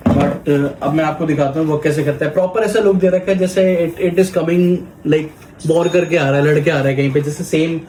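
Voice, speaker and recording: A man's voice: fairly high pitch, steady tone, normal speed. An Indian man giving a casual product demo as a toy shop presenter, explaining step by step and mixing Hindi with English. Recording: echoey room, background noise and clicking.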